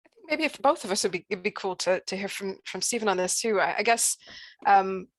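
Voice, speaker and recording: A woman talking informally and reflectively, with hesitant, halting phrasing. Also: medium pitch, no echo. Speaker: poet and co-author at a book launch. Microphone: online video call.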